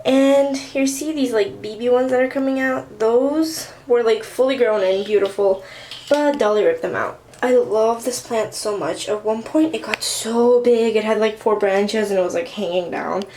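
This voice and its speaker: Chatty teen girl, slightly high voice. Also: moderate pace, warm tone, a little hiss or hum, poor audio. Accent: Armenian Russian. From southern California. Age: teens. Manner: chatty, bubbly, lots of fillers. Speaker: vlogger. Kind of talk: casual plant tour vlog. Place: apartment room and bathroom, indoors.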